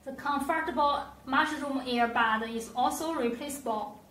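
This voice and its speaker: A woman presenting a scripted product demonstration, with careful, halting, non-native phrasing. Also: sits high, fairly bright tone. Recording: roomy echo, distant mic, faint noise.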